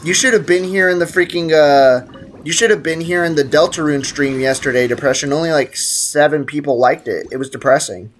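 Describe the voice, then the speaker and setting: A man's voice: on the high side, brisk. Playful male game streamer, noisy background. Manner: playful, slangy, prone to exaggerated outbursts. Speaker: live streamer hosting a gaming stream. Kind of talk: casual gaming livestream chat, reading viewer comments aloud.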